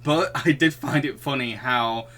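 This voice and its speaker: A man's voice: medium pitch, measured pace, fairly bright tone. A young man hosting a casual film discussion podcast. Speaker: podcast host.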